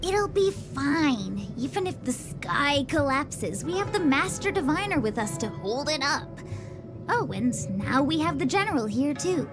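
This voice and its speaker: A high-voiced, breezy young slacker girl. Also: sing-song, unhurried, full character voice. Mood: breezy, carefree. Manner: casual, breezy, drawling slang. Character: laid-back young female diviner's aide, breezy and chatty. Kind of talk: video game cutscene acting. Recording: loud music behind the voice. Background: music.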